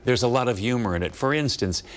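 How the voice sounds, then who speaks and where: A man's voice: medium pitch, animated, quick pace. An American man hosting a morning television show, interviewing a guest in a conversational broadcast style.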